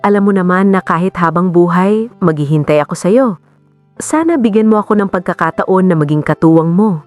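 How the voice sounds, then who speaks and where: A woman voicing a devoted male suitor in a romantic story, speaking with gentle, patient sincerity. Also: lowish voice, brisk, faint music behind, lightly acted. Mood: sincere devotion.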